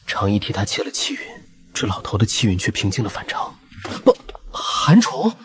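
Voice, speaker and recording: A man's voice: lowish voice, wildly swooping pitch, moderate pace, a crowd in the background. A man acting a serious, guarded character in a Chinese romance audio drama, with a wary, dramatic delivery. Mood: astonished.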